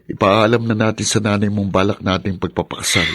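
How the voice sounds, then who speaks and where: An actor playing a devoted young boyfriend in a radio drama, soothing and earnest. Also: male voice, fairly low pitch, fairly even intonation, brisk, a bit bright, dry recording. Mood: reassuring. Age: young adult. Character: reassuring, devoted young boyfriend promising marriage. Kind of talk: Filipino radio drama acting.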